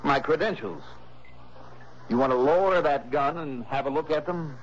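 An actor playing a cool, self-assured insurance investigator in a 1960s radio drama, with a dry, clipped, unruffled delivery. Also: male voice, on the high side, moderate pace, lightly acted. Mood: cool, needling. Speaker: lead detective and narrator. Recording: noisy, muffled old radio recording.

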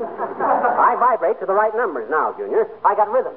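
An actor playing a boastful, stubborn husband with a brash, folksy manner in a 1940s radio comedy. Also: male voice, high-pitched, very animated, normal speed, narrow, tinny audio. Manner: brash, folksy, self-important. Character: blustery, stubborn, boastful husband full of schemes. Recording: thin 1940s radio broadcast, background noise.

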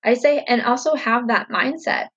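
A woman's voice: fairly high pitch, normal speed, a bit bright, breathy edge, dull audio. A woman in her thirties answering viewer questions in a casual, upbeat, reflective chat full of fillers. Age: 30s.

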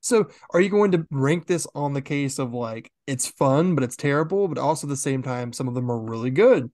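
A man's voice: mid-range voice, animated, a little fast, darkish tone, no echo, studio quality. Chatty male horror podcast co-host. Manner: chatty, laughs easily, filler-heavy explaining. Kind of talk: casual podcast chat.

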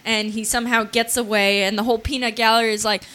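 A woman's voice: on the high side, level tone, fast talker, fairly bright tone. A woman co-hosting a casual podcast, recapping with wry humor. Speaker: podcast co-host. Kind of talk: casual comedic chat.

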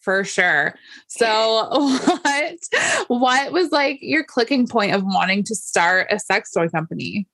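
A woman's voice: mid-range voice, expressive, slow, a bit bright, dry recording. A woman hosting a podcast, talking in an upbeat, friendly way with her guest. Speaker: podcast host. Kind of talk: casual podcast interview.

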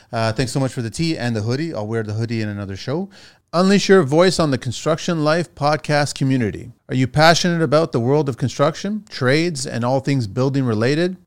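A middle-aged man hosts a podcast, chatting in an easygoing way with his guests. His voice is smooth and clear, at a middle pitch. When he reads promos, he moves through them briskly. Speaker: podcast host. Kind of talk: casual interview chat.

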